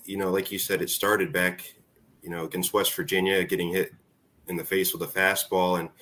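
A man's voice: low-pitched, a bit bright. A man in his forties, a former ballplayer, speaking casually as an interview guest and telling stories. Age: 40s. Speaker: former college baseball player, interview guest.

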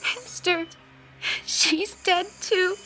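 A woman's voice: extremely high pitch, very slow, sharp tone, soft background music, exaggerated voice. An actress playing a dazed, anxious teenage girl who speaks falteringly in an audio drama. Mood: shocked. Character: dazed, grieving teenage party girl in hospital.

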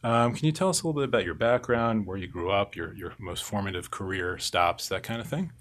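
A man's voice: fairly low pitch, fairly quick, a bit bright. A man hosting a podcast in a studio, reading announcements and introducing a guest in a conversational way with frequent filler words. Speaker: podcast host, editor.

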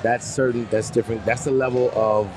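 A man's voice: medium pitch, little pitch movement, a little fast, crowd noise. A man, a former player co-hosting, talks casually about game mindset and often repeats himself when he starts a thought. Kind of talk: casual sports chat. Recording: noisy postgame stadium setting.

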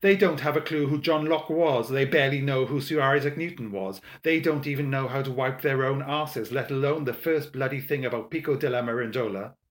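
A man voicing an arrogant, mischievous young English gentleman in an audiobook, drawling and mocking, with drawn-out emphatic words. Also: mid-range voice, big pitch swings, roomy sound, natural voice. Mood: mock-sympathetic, scornful. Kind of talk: audiobook character dialogue.

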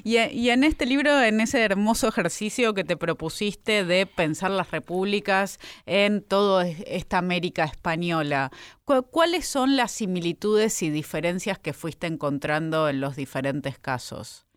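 A woman's voice: lowish voice, unhurried, clear, resonant tone, dry recording, clean studio sound. Curious Argentine woman interviewer. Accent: Argentine Spanish. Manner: curious, engaged questioning. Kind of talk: studio interview.

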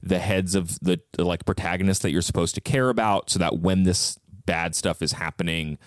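A man with a low voice talks casually in a very dry recording. He is reflective, qualifying his opinions and working through his thoughts aloud like a podcaster in a book discussion. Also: steady tone, fairly quick, dry recording. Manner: reflective, hedging, thinking aloud. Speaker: podcast co-host as guest.

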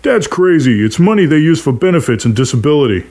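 A man reading as a disapproving old dad in short fiction, his pitch lifted. He is blunt, scolding and incredulous. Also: very animated, fast, darkish tone.

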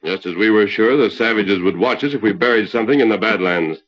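An actor playing a steadfast, commanding masked cowboy hero in an old-time radio western. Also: male voice, lowish voice, quick pace, natural voice. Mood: firm. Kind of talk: 1940s radio western acting. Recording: muffled, very noisy old radio recording.

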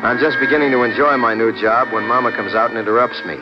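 A man's voice: medium pitch, fairly even intonation, fast, loud music behind the voice, natural voice. An actor playing a breezy, streetwise American man in a hardboiled old radio drama, casual, wry and unruffled. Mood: wry narration. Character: breezy, streetwise male drifter and amateur sleuth. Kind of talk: 1950s hardboiled radio drama acting and narration. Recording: muffled vintage radio broadcast.

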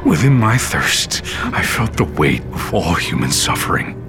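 A tormented wanderer narrating his nightmare in an audio drama. His voice is extremely low, grave and brooding, set against loud music. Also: big pitch swings, measured pace, heavily acted. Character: tormented, parched man recounting a nightmarish journey.

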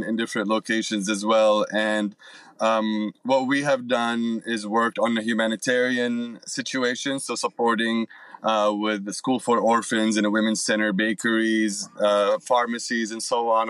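A man with an American accent giving earnest interview answers as an advocate, often pausing and repeating words mid-phrase. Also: fairly low pitch, fairly bright tone, dry recording, low-quality recording. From United States, moved from Syria very young. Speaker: guest, nonprofit advocate. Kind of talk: earnest interview talk about advocacy work.

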